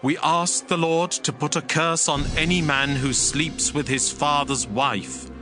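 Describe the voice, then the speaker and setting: A man playing a stern cleric who solemnly pronounces curses in formal, deliberate cadence, in a dramatized scripture recitation. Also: fairly high pitch, steady tone, lightly acted. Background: music.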